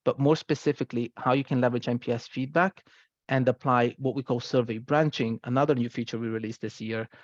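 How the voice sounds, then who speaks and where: A man presents software at a very brisk pace in a mid-range voice. He explains things conversationally, with little check-in tags. The sound is dry and a touch dull. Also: medium pitch, fairly even intonation, no room sound. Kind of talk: product presentation.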